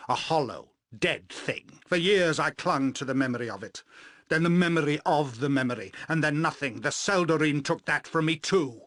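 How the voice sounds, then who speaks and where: Male actor as vengeful elven sorcerer, video game villain, medium pitch, menacing, deliberate formal diction, theatrical, grandiose, soulless, dry studio sound. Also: fairly bright tone, dry recording, close to an everyday voice. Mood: hollow, bitter.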